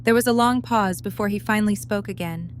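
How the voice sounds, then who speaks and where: A woman's voice: mid-range voice, brisk. A woman reading scripted third-person narration for an audio drama. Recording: clear background noise with hum.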